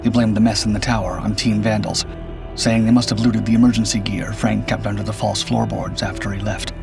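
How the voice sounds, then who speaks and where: A man tells a suspense story in a grave, measured way. His voice is low and gravelly with fry, and his intonation stays very even. Music plays clearly behind him. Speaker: horror story narrator. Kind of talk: scripted first-person suspense narration. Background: background music.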